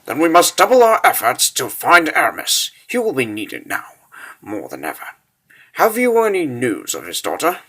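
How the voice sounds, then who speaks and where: A man's voice: medium pitch, wildly swooping pitch, moderate pace, a bit bright, husky, heavily acted. A man playing an imperious, suspicious king in a fantasy comic dub, formal and commanding in his orders. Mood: concerned, stern. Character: imperious, suspicious male king ruling a war-torn realm.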